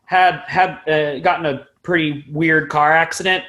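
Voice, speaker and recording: Man, twenties, slightly high pitch, casual interview chat, rambling, self-deprecating musician. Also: fairly even intonation, unhurried, pushed and bright. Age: 20s. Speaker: podcast guest, band musician.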